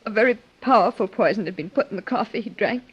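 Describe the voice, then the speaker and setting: An actress playing an earnest, grieving young wife in old-time radio drama style, speaking haltingly with emotion. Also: female voice, medium pitch, husky. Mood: troubled, earnest. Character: grieving young wife of a wealthy older man. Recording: dull, lo-fi old radio recording.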